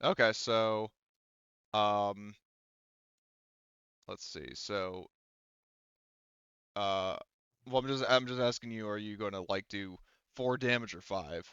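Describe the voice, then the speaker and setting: A man's voice: lowish voice, slow, pauses often, a bit bright, smooth, dry recording, muffled recording. A man running a tabletop game as game master, talking casually and hesitantly as he thinks aloud.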